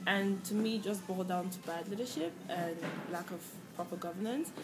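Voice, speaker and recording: An earnest young woman from Cameroon tells her own story in an interview, reflective and unhurried, often pausing on fillers and starting over. She speaks at an ordinary middle pitch, and a steady noise hums clearly behind her.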